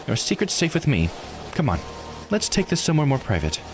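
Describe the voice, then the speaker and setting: A man's voice: a little high, wildly swooping pitch, a little fast, over music, voice pushed up. A man voicing a shrewd, self-assured investigator in a visual novel, probing and insistent yet composed. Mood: confident, insistent. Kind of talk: visual novel character read-aloud.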